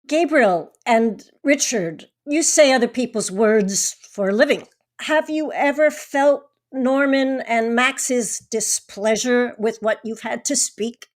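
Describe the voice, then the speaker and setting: A woman's voice: a little high, takes it slowly. A woman hosting an interview, putting prepared questions to a panel. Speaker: interview host.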